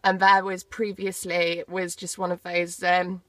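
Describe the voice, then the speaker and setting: A woman's voice: fairly low pitch, little pitch movement, measured pace, fairly bright tone, no room sound. A woman giving a casual, chatty handheld tour to viewers, wandering off into informal asides as she films on her phone. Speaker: vlogger showing own off-grid setup. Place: outside by a caravan, then inside it. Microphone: handheld phone behind the camera.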